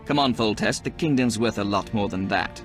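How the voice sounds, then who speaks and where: An actor playing an ambitious, cocky young king in a video game, self-assured and smooth-talking. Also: fairly low pitch, a little fast, faint music behind, close to an everyday voice. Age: young adult.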